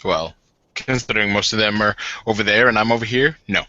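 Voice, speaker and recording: A reserved, awkward husband, acted by a man in a tabletop game. He speaks haltingly and guardedly in a bright, clear-cut tone. The recording is dull and close, with no room. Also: lowish voice, no room sound. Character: reserved, awkward gym-owning bridegroom. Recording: dull muffled lo-fi audio.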